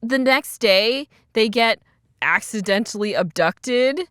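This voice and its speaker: A young woman co-hosting a podcast, chatting casually and retelling a story in an animated, chatty way. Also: a little high, takes it slowly, clean tone, no echo, clean studio sound. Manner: chatty, animated, acts out quoted dialogue. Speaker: podcast co-host. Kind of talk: casual chat, retelling a cartoon's plot.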